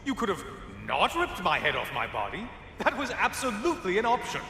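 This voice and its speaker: An actor playing a bitter, mocking sun god who taunts with sarcastic remarks in video game dialogue. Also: male voice, sits high, huge pitch swings, brisk, creaky, heavily acted. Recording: game audio with loud music.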